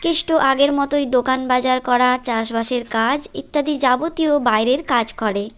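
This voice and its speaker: A woman's voice: sits high, moderate pace, fairly bright tone, clear, resonant tone. A woman reading scripted storytelling narration for a moral cartoon. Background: music.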